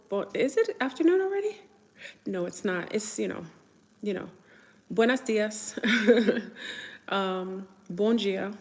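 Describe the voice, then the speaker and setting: Chatty New woman, reverberant room. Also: medium pitch. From New York. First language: French. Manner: rambling, chatty, answers viewer comments. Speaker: livestream host. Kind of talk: casual livestream chat.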